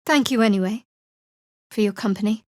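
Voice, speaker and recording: A woman playing a guarded, sardonic young cleric of a dark goddess in a video game, with a dry, wry, measured delivery. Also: mid-range voice, no room sound, lightly acted. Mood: guarded, composed. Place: studio.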